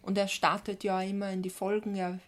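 A woman speaks with an Austrian accent at an average female pitch. She co-hosts a podcast and shares her views in loose, informal conversation. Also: flat delivery, brisk. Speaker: podcast co-host. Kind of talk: casual chat.

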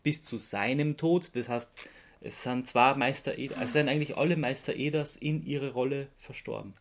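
A podcast host chatting casually, often halting to search for words. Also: medium pitch.

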